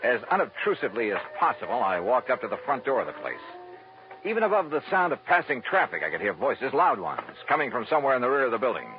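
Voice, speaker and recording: Wry, tough investigator played by a man, animated old-time radio narration, dull band-limited sound. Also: mid-range voice, quick pace, a little hiss or hum, lightly acted. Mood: tense, narrating. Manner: wry, clipped, tough-guy delivery. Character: hardboiled male insurance investigator, wry, tough, self-assured. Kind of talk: 1950s radio drama acting and first-person narration. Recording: muffled vintage radio recording, music behind.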